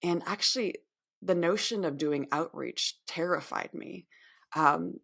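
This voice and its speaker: A woman's voice: fairly low pitch, measured pace, creaky, a bit bright. A woman in her thirties from upstate New York, speaking candidly and reflectively as a podcast guest, often restarting her words. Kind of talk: podcast interview.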